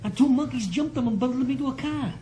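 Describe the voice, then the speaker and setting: Knowing street fixer: high, soft-toned and breezy. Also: male voice, quick pace, a little hiss or hum. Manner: breezy, cheeky. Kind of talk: radio drama acting.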